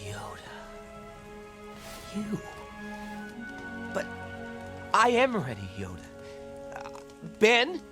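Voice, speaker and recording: Young american hero type, highish voice, impatient and earnest, really animated radio drama delivery, music behind. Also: male voice, drawn-out words, pauses often, exaggerated voice. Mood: pleading. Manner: earnest, hesitant, pleading.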